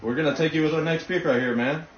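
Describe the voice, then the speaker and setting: A man's voice: fairly high pitch, fast, a little hiss or hum. A man making a casual phone call, posing as a team manager, in a distant, muffled, echoey clip.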